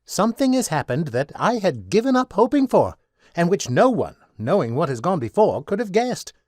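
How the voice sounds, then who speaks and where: Casting: fussy pedantic schoolmaster, high male voice, very animated delivery, pompous and wordy, classic storybook drama. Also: moderate pace, no echo, clean studio sound. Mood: amazed, pompous. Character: pedantic, long-winded male tutor, earnest and astonished. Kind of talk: full-cast audiobook acting.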